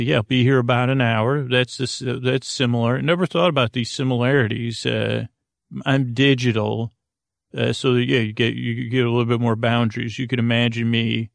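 Creaky guy doing a rambly sleep podcast, goofy, keeps trailing off, close dry sound. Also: medium pitch, no room sound. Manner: meandering, halting, trailing off into tangents; self-deprecating, goofy, gently humorous. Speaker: podcast host.

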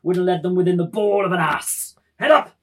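A woman's voice: fairly low pitch, normal speed, lightly acted. A woman playing a blustering old father who halloos and cries out like a huntsman in a dramatised novel reading. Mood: rousing, boisterous. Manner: hallooing, crying out loudly. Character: blustering old father whooping hunting calls like a buzzard.

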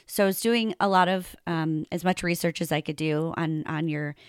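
A woman's voice: lowish voice, moderate pace, smooth, no room sound, studio quality. A woman hosting an interview over a video call, chatty, with many fillers and frequent laughs. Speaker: podcast host. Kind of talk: casual interview chat.

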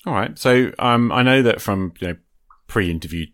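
A middle-aged man with a low voice hosts a relaxed, conversational interview. The sound is dry, with no room around him. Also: normal speed, dry recording. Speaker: podcast host, interviewer.

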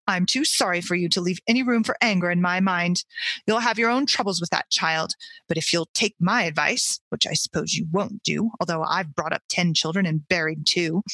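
A woman's voice: low-pitched, fairly bright tone, no echo, pitched below the performer's own voice, poor audio. A woman reading aloud as a self-righteous older neighbor woman who lectures in an offended, sanctimonious way.

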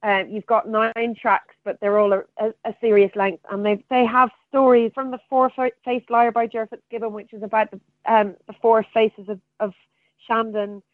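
A woman's voice: a little high, level tone, normal speed, no echo. Woman on a thin phone line. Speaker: theatre artistic director, interview guest. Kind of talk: interview conversation. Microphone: remote phone or call.

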